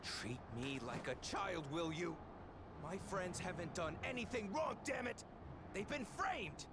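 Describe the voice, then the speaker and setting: A woman's voice: lowish voice, expressive, measured pace, very noisy. A woman voicing a defiant, hot-headed young man in a western fantasy game, combative and aggrieved. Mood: indignant.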